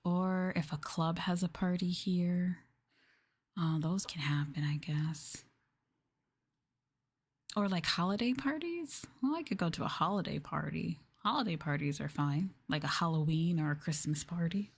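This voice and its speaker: A woman talking softly and breathily, often near a whisper, in an unhurried, musing ASMR livestream chat with viewers. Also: fairly low pitch, husky. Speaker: VTuber livestream host. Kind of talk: ASMR soft-spoken casual chat.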